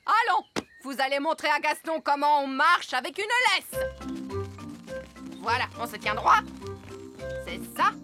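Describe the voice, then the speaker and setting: High, bossy cartoon trainer. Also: female voice, lively intonation, unhurried, long pauses. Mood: firm, commanding. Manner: commanding, clipped. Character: bossy, strict female animal trainer. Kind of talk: children's cartoon dub. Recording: music behind the voice.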